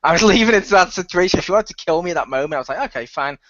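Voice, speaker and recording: Animated higher-voiced man, slightly dull recording. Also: rapid-fire, dry recording. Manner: animated storytelling, acts out quotes, swears casually. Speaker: radio play cast member on a wrap-up discussion. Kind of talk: casual group chat.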